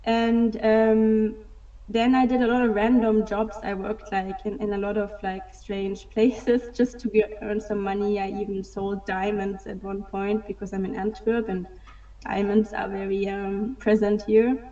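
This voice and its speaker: A woman's voice: medium pitch, flat delivery, a little slow, mellow. A woman, answering interview questions casually and hesitantly, with many filler sounds. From Germany. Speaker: guest, digital fashion artist. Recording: distant, dull sound.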